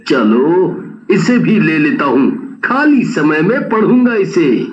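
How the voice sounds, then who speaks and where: A man's voice: on the high side, very animated, takes it slowly, lo-fi sound, slight background noise. A man playing a jumpy, curious young college student who stammers when scared, in an acted horror story.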